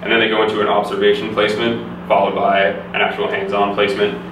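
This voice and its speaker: A young man from Canada talks quickly and steadily in a flat, low voice. He sounds like an easygoing student being interviewed. The recording is very echoey, taken from a distance with clear background noise. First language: English. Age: young adult. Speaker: physiotherapy student guest. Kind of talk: casual interview answers.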